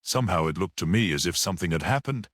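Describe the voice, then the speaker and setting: A man voicing a gentle little priest, lilting intonation. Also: deep voice, expressive, fast talker, no room sound, clean studio sound. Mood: mild. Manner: gentle, unassuming, matter-of-fact. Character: mild, quietly grave little male priest-detective.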